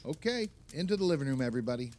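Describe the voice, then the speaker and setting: An actor playing a jovial, enthusiastic husband hosting a holiday party in an audio play, hearty and exclamatory. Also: male voice, medium pitch, sing-song, very fast, a little mellow, lightly acted. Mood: delighted.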